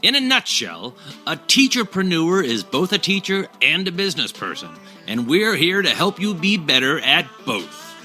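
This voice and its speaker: A man's voice: fairly high pitch, sing-song, normal speed, sharp tone. A man announcing a scripted podcast intro with grandiose, playfully theatrical delivery. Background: music.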